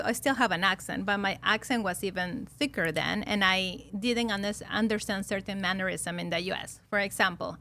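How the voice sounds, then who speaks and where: A woman's voice: mid-range voice, sharp tone. A woman of around forty from Peru with a Peruvian Spanish accent, telling stories as a podcast guest in a chatty way, laughing often between fillers. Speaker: podcast guest, loan officer. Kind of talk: casual storytelling interview.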